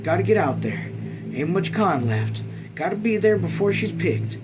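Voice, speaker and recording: A man's voice: sits high, sing-song, moderate pace, music in the background, pitched above the performer's own voice. A man voicing a commanding, hardworking farm mother with folksy rural phrasing in a read-aloud story. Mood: urgent, commanding. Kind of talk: audiobook character read aloud.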